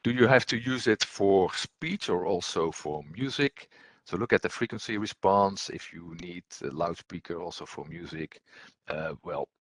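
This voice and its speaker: A man presenting technical training as an instructor, explaining step by step in a hesitant, halting way with frequent ums and repeated words, his voice husky. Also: sits low, fairly bright tone, no echo.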